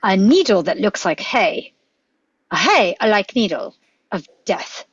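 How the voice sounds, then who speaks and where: Woman playing a husky, wry eccentric doctor. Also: mid-range voice, big pitch swings, a little slow, no room sound. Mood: wry, musing. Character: eccentric, quick-witted male adventurer doctor. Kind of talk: script table read. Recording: dull lo-fi microphone.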